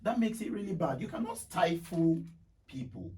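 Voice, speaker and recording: A man's voice: on the high side, expressive, normal speed, a little mellow. A Nigerian man in his thirties explaining his opinions in a discussion, emphatically, building lists and repeating phrases. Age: 30s. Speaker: radio talk show guest. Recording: slightly distant mic, faint noise.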